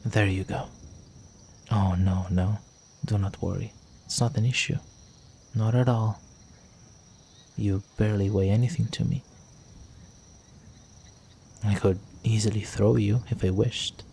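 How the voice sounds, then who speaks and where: Low, slow, seductive vampire gentleman, acted by a man. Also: steady tone, a little hiss or hum. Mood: gently amused. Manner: seductive, courtly, teasing, with breathy murmurs. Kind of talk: intimate roleplay audio drama.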